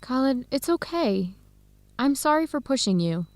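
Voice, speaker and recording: High-voiced young woman, warm-hearted student, gentle, careful diction, radio drama, dry studio. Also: sing-song, normal speed, dry recording. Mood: concerned, gentle. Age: young adult. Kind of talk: radio drama acting for English learners.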